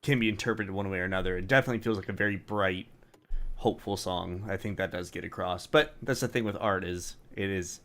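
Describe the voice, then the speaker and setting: A man's voice: deep voice, fast talker, a bit bright. A man giving casual commentary as one of a panel, in a conversational way.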